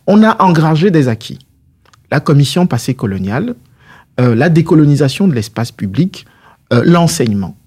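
A man's voice: on the high side, big pitch swings, moderate pace, darkish tone, slightly rough. A man answering interview questions as a political guest, in a didactic, structured and rhetorical way.

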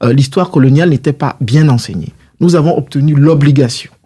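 Husky-voiced man, mellow and fairly high. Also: a little fast. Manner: didactic, structured, rhetorical. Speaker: politician, interview guest. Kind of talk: measured political interview answers.

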